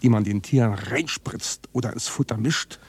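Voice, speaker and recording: An actor playing a bitter, evasive contract calf fattener in a radio drama, husky and blunt, with a sardonic, coarse way of talking. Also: male voice, medium pitch, normal speed, darkish tone, dry recording. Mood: bitter, indignant. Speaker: contract livestock farmer. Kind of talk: naturalistic radio drama dialogue.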